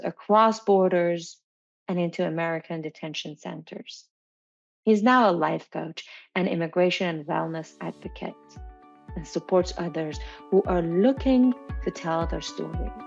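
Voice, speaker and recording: A woman's voice: on the low side, gravelly, lo-fi sound. A woman hosting an interview podcast, speaking in a prepared presenter style. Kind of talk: scripted podcast introduction and interview.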